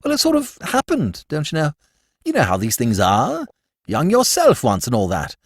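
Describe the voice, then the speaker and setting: A man's voice: a little high, wildly swooping pitch, normal speed, dry recording, clean studio sound, voice pushed up, full character voice. A male narrator voicing a cheerful, chatty young with an airy, chattering drawl. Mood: breezy. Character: breezy young upper-class Englishman, chatty and cheerful.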